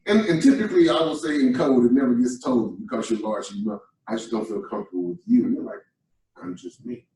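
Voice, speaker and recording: A man's voice: medium pitch, fast talker, dark tone. A man taking part in a workshop discussion, speaking expressively from his own experience. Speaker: workshop participant. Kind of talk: group discussion. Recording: echoey room from far off.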